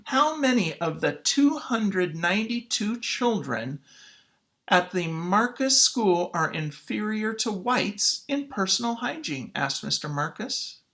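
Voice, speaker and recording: A man reading a children's book aloud as a teacher, with dramatic, emphatic phrasing and pauses. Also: sits high, big pitch swings, bright, forceful tone. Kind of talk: expressive children's read-aloud.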